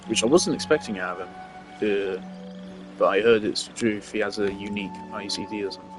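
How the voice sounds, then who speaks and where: Man, lowish voice, offhand gameplay talk, rambling and self-correcting, faint game music behind. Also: a little fast. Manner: rambling, thinking aloud with restarts. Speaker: gameplay streamer. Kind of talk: casual gameplay commentary.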